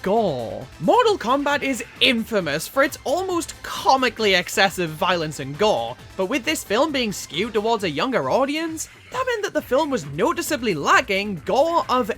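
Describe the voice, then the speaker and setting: A woman's voice: a little high, sing-song, normal speed, few pauses, a bit bright, soft background music. A woman delivering scripted video essay commentary as a reviewer, opinionated and emphatic in a casual way.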